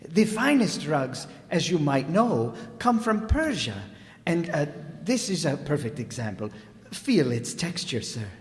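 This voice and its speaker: An actor playing a thin, impeccably mannered rug dealer, formal and fawning, in a radio detective drama. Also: male voice, a little high, sing-song, a little slow. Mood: eager, fawning. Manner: unctuous, formal, deferential. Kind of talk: old-time radio detective drama acting. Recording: reverberant hall recording.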